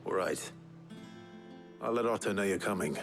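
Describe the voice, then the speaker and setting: An actor playing a calm, dutiful male warrior leader, measured and sincere in a video game drama. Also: sits low, gravelly, over music. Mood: gentle, steady.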